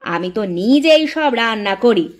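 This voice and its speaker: A woman playing a mild, patient elder village wife in a folk-tale cartoon, protesting gently. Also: fairly high pitch, expressive, normal speed, lightly acted. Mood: hurt, defensive.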